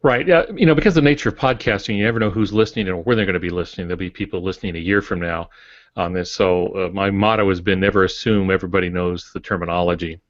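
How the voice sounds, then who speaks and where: A man who teaches writing, talking on a podcast in a calm, explanatory way with many "uh"s. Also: deep voice, fast, no room sound, lo-fi sound. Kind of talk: casual podcast chat.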